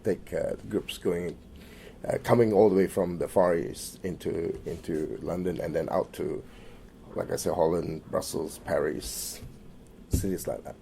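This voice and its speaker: A middle-aged man from Malaysia in an oral history interview, hesitant with many fillers and restarts, trailing off quieter at phrase ends. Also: low-pitched, fairly even intonation, measured pace, creaky, a little hiss or hum.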